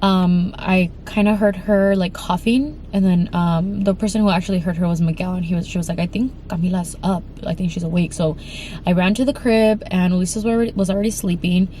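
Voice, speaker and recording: A woman's voice: fairly low pitch, fairly even intonation, brisk, dark tone, slight background noise. Congested young woman telling a frightening story. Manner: rambling with many fillers and restarts. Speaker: vlogger. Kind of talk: emotional storytime vlog.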